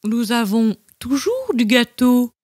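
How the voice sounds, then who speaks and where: A woman voicing a playful, indulgent mother bear in a storybook, lively and fond. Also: a little high, slow, no echo, clean studio sound, heavily acted. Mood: breezy, amused. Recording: music bed under the voice.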